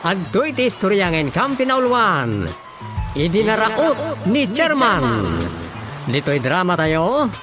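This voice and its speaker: A male radio drama announcer with a Filipino accent and a high, swooping voice. He narrates slowly and theatrically, declaiming each phrase over prominent music. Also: very animated.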